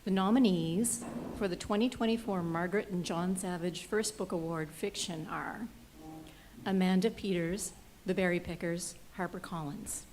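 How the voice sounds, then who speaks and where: A soft-spoken woman presenting an award, reading out nominees and jury remarks. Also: fairly low pitch, normal speed, a little hiss or hum, low-quality recording.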